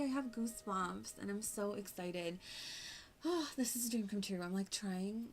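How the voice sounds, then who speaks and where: A woman livestreaming a video game with casual commentary, in a playful, gushing and informal manner. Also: fairly high pitch. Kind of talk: casual live gameplay commentary. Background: music.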